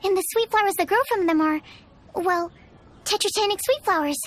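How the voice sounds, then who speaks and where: A woman's voice: extremely high pitch, a little fast. A woman voicing a geeky young female alchemist in a video game, fervently enthusiastic and breathless. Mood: fervent, excited. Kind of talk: video game character acting.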